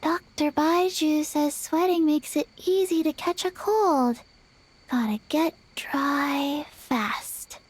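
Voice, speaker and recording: Slow, sleepy little zombie girl. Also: high-pitched. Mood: flat, sleepy. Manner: speaks of self in third person, slow, halting. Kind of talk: video game voice acting.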